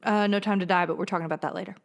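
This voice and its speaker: A woman's voice: mid-range voice, steady tone, rapid-fire. An American woman co-hosting a podcast, chatting casually in an informal, slangy way. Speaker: podcast co-host. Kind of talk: casual pop culture chat.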